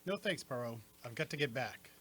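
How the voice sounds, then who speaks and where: A man plays a plain-spoken police inspector in a radio mystery. His mid-pitched voice is brisk and questioning, with a faint hum behind it. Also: expressive, a bit bright, lightly acted. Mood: businesslike. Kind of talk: radio mystery play acting.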